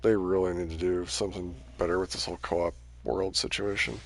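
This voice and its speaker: A man's voice: low voice, flat delivery, a little fast. A man giving casual, rambling gameplay commentary on a livestream, his voice starting to tire. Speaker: game livestreamer.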